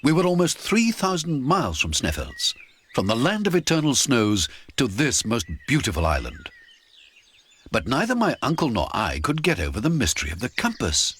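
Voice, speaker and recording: Very animated male storybook narrator. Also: mid-range voice, moderate pace, a little hiss or hum. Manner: theatrical, expressive. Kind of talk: dramatic first-person audiobook narration.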